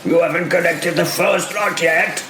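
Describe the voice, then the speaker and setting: A man's voice: on the high side, fairly even intonation, measured pace, pushed and bright, soft background music, pitched above the performer's own voice. A man voicing a wry, brave young medieval knight in an audio drama, over faint background noise. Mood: wry, teasing. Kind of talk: audio drama character acting.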